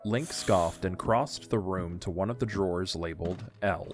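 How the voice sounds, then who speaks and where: A man's voice: sits low, normal speed, faint music behind. A man narrating a scripted story for an audio drama.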